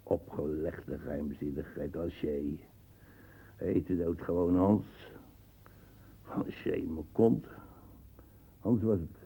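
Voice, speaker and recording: An actor playing a grumbling old colonial veteran in a radio play, rambling haltingly and with disdain. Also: male voice, very deep voice, steady tone, creaky, mellow, breathy edge, exaggerated voice, low-quality recording. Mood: scornful. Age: older.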